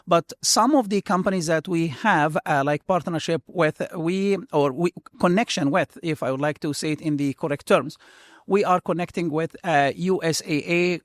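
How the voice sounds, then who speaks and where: Middle-aged egyptian-born professor guy, kinda high voice, halting earnest answers, dead dry room. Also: no room sound. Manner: earnest, halting phrasing with restarts. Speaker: computer science professor, guest. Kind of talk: interview answers.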